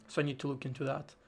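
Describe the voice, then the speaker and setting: A man live-streaming while he codes, thinking aloud in a halting way, with many fillers and restarts. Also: fairly high pitch, gravelly, darkish tone, husky. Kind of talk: casual live-stream talk while coding.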